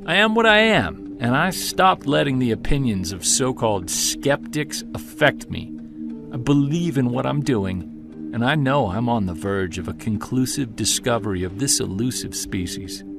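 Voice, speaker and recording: A man playing a driven, defiant Bigfoot hunter narrating an audio-drama journal with earnest intensity and emphatic pauses. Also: medium pitch, lively intonation, creaky, music in the background. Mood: defiant, determined.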